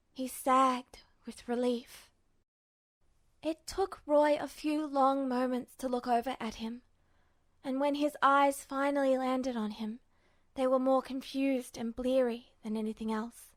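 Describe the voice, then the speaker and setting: A woman reading scripted fiction aloud as an expressive audiobook-style narrator. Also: sits high, dry recording, clean studio sound. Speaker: podfic narrator.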